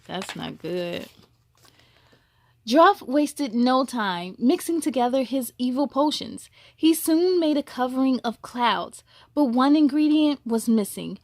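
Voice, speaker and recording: A woman reading a children's story aloud as host, expressive and exclamatory, speaking to young listeners. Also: sits high, no room sound. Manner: slow, deliberate, exclamatory delivery for children.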